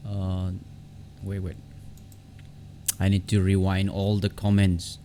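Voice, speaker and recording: A man hosting an unscripted software tutorial in a halting, repetitive way, often restarting his phrases. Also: low-pitched, completely flat delivery, a little hiss or hum. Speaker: tutorial host.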